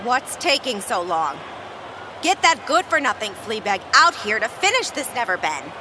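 A woman's voice: a little high, big pitch swings, moderate pace. An actress playing an imperious, scornful evil empress of an arena in a fantasy audio drama, with a noisy crowd behind her. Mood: scornful, impatient. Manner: haughty, scornful.

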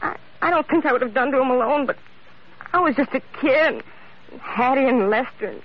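High-voiced, frightened, clinging young woman. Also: fairly quick. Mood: anxious, wistful. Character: frightened, clinging young niece with a guilty past. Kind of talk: 1940s-50s radio melodrama acting. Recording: dull, noisy vintage radio recording.

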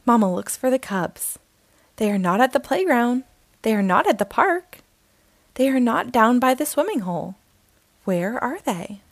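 Woman storytime reader, bright high voice with sing-song lift, dry close mic. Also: no room sound. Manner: slow, expressive, sing-song reading. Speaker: storytime host reading aloud. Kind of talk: children's picture book read-aloud.

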